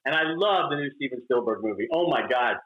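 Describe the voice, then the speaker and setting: A man's voice: mid-range voice, lively intonation, brisk. Effusive man, echoey lo-fi audio. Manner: effusive, gushing exclamations. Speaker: actor guest. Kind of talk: casual interview chat. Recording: echoey, dull lo-fi sound.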